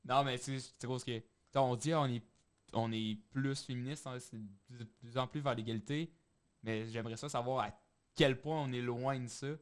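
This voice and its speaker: A man's voice: medium pitch, fairly even intonation, normal speed. Informal young man with a Quebec accent. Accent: Quebec French. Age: young adult. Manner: informal, questioning. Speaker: podcast panelist. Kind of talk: casual group discussion. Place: small podcast studio. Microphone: close studio microphone on boom arm.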